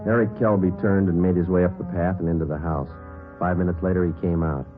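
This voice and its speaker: A man's voice: sits low, fairly even intonation, fast, narrow, tinny audio, natural voice. A man acting a terse, unflappable police sergeant with an American accent in a 1950s radio drama, clipped and matter-of-fact. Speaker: actor playing lead police detective and narrator. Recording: band-limited old radio recording with music.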